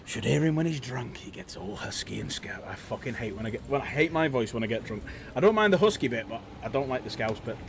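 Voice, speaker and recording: Animated northwest English host, sweary. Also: male voice, mid-range voice, fast talker, few pauses. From Widnes, northwest England. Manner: sweary, jokey banter. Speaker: livestream host. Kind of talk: casual gaming chat. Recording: noisy background.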